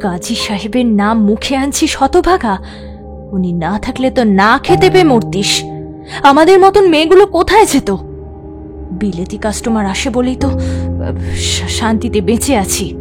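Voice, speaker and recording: Actress as a confiding, wary courtesan over soft music. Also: female voice, on the high side, expressive, measured pace, long pauses. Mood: scolding. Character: adult prostitute recounting her past, wary, frank, sometimes sharp-tongued. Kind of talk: Bengali audio story acting.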